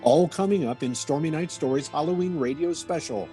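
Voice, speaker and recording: A man delivering spooky scripted radio narration as announcer, in a dramatic, measured way. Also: a little high, mellow, soft background music.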